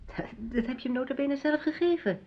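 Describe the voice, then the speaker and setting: An actress playing a doctor's guarded, quietly reproachful wife in a radio play, terse and understated. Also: female voice, high voice, fairly quick. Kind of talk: Dutch radio play dialogue. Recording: dull lo-fi radio play recording.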